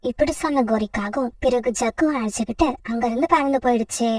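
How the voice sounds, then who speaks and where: A woman's voice: a little high, fast, darkish tone, no echo, studio quality, far from the mic. A woman storyteller giving scripted narration of a children's story.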